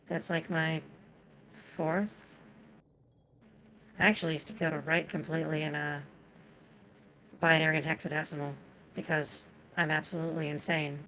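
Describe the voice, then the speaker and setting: A woman's voice: deep voice, fairly even intonation, moderate pace, creaky, long pauses, a bit bright, a little hiss or hum, poor audio. A woman whose first language is Japanese, chatting casually and profanely with viewers while streaming a game.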